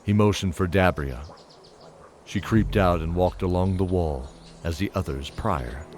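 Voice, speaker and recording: A man narrating a scripted fantasy audio drama in a measured, dramatic storytelling style. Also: low-pitched.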